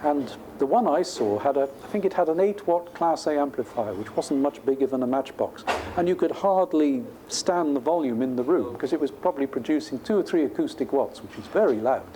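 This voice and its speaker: A man's voice: on the high side, expressive, fairly quick, few pauses, mellow. A male professor explaining technical ideas informally in a lecture, thinking aloud in a conversational way. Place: lecture room at a university. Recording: clearly noisy lecture room recording.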